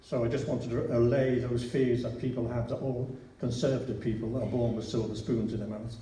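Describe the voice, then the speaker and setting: A man's voice: medium pitch, flat delivery, brisk, warm tone. An older man in his sixties with a Liverpool accent, giving a chatty, anecdotal speech as a council candidate at a hustings, often restarting his sentences. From Liverpool, England. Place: large church hall. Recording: echoey hall, distant mic, faint hiss.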